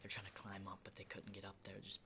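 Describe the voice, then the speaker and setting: A man playing an unsettling, lonely, hardened apocalypse survivor in an ASMR roleplay, speaking in a halting, breathy whisper and soft voice. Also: low-pitched, monotone, background noise, lightly acted. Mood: haunted, storytelling. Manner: hushed, halting, repeats words, mild swearing.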